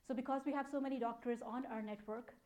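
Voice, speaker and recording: A woman's voice: fairly high pitch, steady tone, quick pace, darkish tone. A woman in her thirties with a Pakistani accent, giving an informal talk in an explanatory, conversational way. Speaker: speaker, data analytics program manager. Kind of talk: conference talk, informal presentation.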